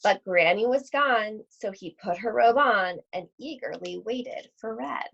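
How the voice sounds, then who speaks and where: A woman reading a children's picture book aloud to schoolchildren in an animated, theatrical storytelling manner. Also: medium pitch, a little slow, gravelly. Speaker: read-aloud host at an elementary school. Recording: dull, lo-fi sound.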